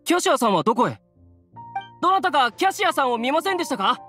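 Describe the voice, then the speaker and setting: A man's voice: extremely high pitch, sing-song, quick pace, sharp tone, exaggerated voice. A man playing an earnest, courteous young townsman in an anime game, formal and concerned. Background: game music.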